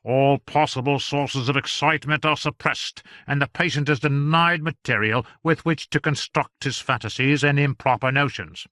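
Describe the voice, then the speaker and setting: A man's voice: mid-range voice, moderate pace, hardly any pauses, sharp tone, no echo, studio quality, pitched above the performer's own voice, lightly acted. A man voicing a sarcastic male royal physician who speaks in a sneering, condescending way.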